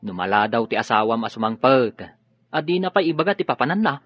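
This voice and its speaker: A man's voice: mid-range voice, very animated, quick pace, no echo. A deferential male helper, muffled. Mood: concerned. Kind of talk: radio drama acting. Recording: dry, muffled lo-fi radio drama with music.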